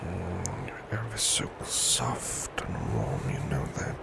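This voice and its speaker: A man playing a devoted, tender servant in an intimate ASMR roleplay, murmuring sleepily and half whispering, with loud noise behind him. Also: low voice, wildly swooping pitch, slow, vocal fry, full character voice. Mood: sleepy, tender. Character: devoted, tender male servant cuddling his beloved. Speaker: ASMR voice actor.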